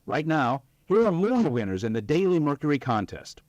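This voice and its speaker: Dry and close, a man plays a 1940s radio pitchman. His fairly high voice moves in lively swings, emphatic and eager in a promotional announcing style. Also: normal speed, dry recording, dull audio. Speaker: commercial announcer.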